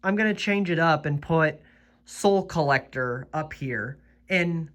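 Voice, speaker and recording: A young man chats through a casual vlog at an unhurried, drawn-out pace. He is losing his voice, so it sounds slightly worn, and he pauses often with ums and uhs as he thinks aloud. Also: on the high side, fairly bright tone. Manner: slow, hesitant, full of ums and uhs. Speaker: host.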